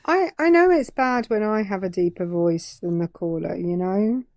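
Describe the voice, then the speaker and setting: A woman's voice: medium pitch, moderate pace, darkish tone, no room sound, studio quality. A woman with a British accent co-hosting a live stream, joking and self-deprecating. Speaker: co-host. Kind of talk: casual live stream chat.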